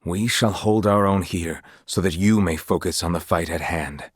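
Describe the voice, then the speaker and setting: Low-voiced, earnest fantasy knight. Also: male voice, breathy edge, no room sound, clean studio sound. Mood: formal, steady. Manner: formal, archaic, measured speech. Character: earnest, honorable young male fantasy knight, formal and brooding. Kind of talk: dramatic video game voice acting.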